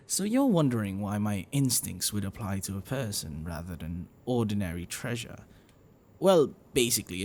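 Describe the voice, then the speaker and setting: A man voicing an affectionate, protective, bashful dragon boyfriend in a soft, breathy, hesitant ASMR roleplay. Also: lowish voice, slight background noise, exaggerated voice. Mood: patient, explaining.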